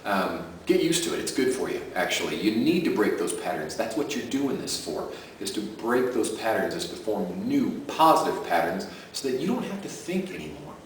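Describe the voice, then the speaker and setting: Man, deep voice, chatty pitch, keeps checking in with "okay?", far from the mic, very echoey room. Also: big pitch swings, moderate pace, hardly any pauses, slight background noise. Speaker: self-help audio creator. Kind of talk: casual direct-to-camera pitch. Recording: roomy echo from a distant mic.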